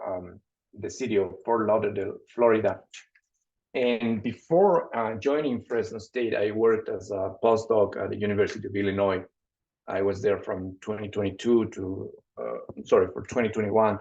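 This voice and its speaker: Gravelly low-voiced man from Ecuador. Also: darkish tone. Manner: halting, repeats words often. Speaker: panelist, assistant professor. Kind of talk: webinar self-introduction. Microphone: video call. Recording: dull lo-fi video call audio.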